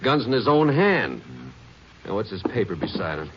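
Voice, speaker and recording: Through a dull, noisy vintage radio recording comes a small-town Texas sheriff. He is folksy and cautious, with a questioning manner and a pitch that moves around freely in classic 1950s radio drama style. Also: big pitch swings, moderate pace. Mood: startled. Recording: muffled, noisy 1950 radio broadcast.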